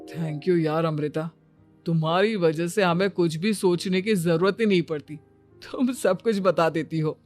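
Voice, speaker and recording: A woman's voice: fairly low pitch, measured pace, a little mellow, soft background music, voice pushed down, lightly acted. A woman voicing an easygoing, puzzled young husband in a Hindi cartoon story, talking casually. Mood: agreeable, grateful.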